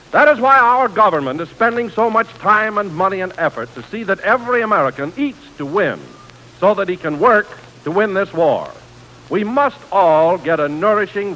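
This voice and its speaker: A man's voice: sits high, bright, forceful tone. A man giving an earnest, declamatory wartime sponsor announcement as a 1940s radio announcer. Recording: noisy vintage radio broadcast.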